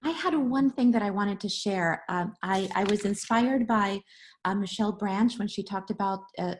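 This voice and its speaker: Woman, from Costa Rica, medium pitch, panel artist, personal reflective talk. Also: normal speed, slight background noise. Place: online video panel.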